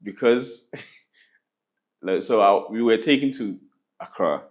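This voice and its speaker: A man in his thirties from Ghana, telling his life story in a relaxed interview with many pauses and fillers. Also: on the low side. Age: 30s. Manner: hesitant, many fillers, laughs often. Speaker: interview guest.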